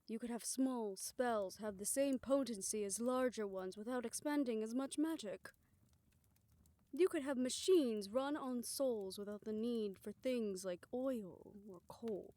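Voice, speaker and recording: Soft-spoken woman in audio roleplay. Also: a little high, measured pace, no echo. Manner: soft, hushed delivery, often dropping to a whisper. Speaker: audio roleplay performer voicing several characters. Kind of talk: scripted audio roleplay dialogue.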